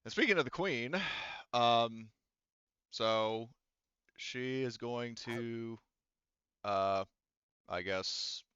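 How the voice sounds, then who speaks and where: A man with a clear, smooth voice runs a tabletop game as game master. He talks casually and haltingly as he works out the rules. The sound is very dry and slightly dull. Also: mid-range voice, a bit bright, dry recording. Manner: halting, thinking aloud, casually profane.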